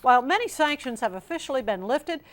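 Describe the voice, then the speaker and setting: A woman with a fairly high voice reads the news as a television anchor. Her delivery is scripted and structured, in the manner of a newscast. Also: lively intonation, fast.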